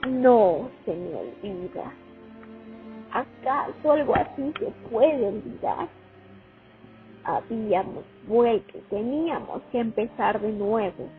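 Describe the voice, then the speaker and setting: A high-voiced female war veteran, played by an actress tells her memories of the front with lively, dramatic swings in a radio play. The sound is tinny and phone-like. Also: slow. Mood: nostalgic, moved. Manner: reminiscing, expressive, dramatic. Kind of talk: radio play monologue, first-person testimony. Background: music.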